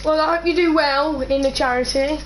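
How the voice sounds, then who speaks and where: A woman's voice: high-pitched, steady tone, a little fast, slight background noise, low-quality recording. A woman asking questions in a casual chat, hesitant and full of fillers and restarts.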